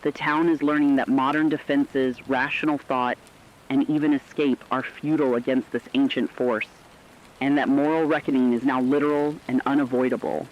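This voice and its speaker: A man's voice: medium pitch, flat delivery, normal speed, dull audio, natural voice. A man playing a solemn radio host, warning listeners of supernatural doom in portentous, emphatic scripted narration. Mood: solemn, foreboding.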